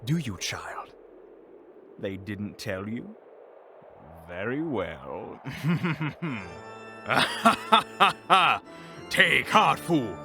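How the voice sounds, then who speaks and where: A forceful, swooping villain of fantasy audio drama. This vain evil sorcerer talks slowly and grandly, with pompous dramatic pauses and a temper that is easily ruffled. Music plays behind him. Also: male voice, on the high side, exaggerated voice. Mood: gloating, grandiose. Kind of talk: fantasy audio roleplay acting.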